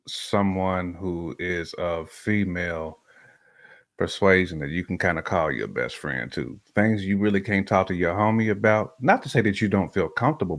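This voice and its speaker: A man with a fairly low voice co-hosts a casual conversation. His phrasing is colloquial and full of slang. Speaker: co-host. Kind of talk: casual chat.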